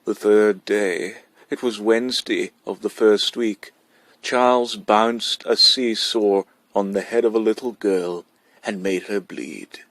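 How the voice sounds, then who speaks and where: A man's voice: fairly low pitch, steady tone, takes it slowly, fairly bright tone, no room sound. A man narrating a short story from a script as a reader.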